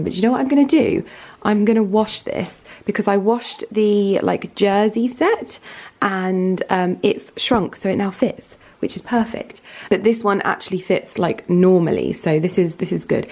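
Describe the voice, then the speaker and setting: A woman vlogging in casual, rambling chat, her voice croaky this morning. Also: lowish voice, mellow. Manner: chatty, rambling, lots of fillers.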